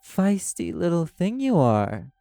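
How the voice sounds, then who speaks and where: A man's voice: fairly high pitch, wildly swooping pitch, takes it slowly, vocal fry, mellow, dry recording, exaggerated voice. A man playing a proud, condescending arch-demon casino owner in an audio roleplay, drawling and teasing with pet names. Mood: sly, needling. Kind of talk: dramatic audio roleplay acting. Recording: music under the voice. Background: music.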